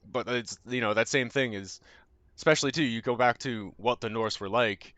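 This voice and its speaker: A man's voice: medium pitch, normal speed, a bit bright, dry recording. A young Canadian man with a Canadian accent, chatting casually with his stream viewers in a rambling, loose way with plenty of fillers and casual swearing. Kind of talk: casual chat with viewers while gaming.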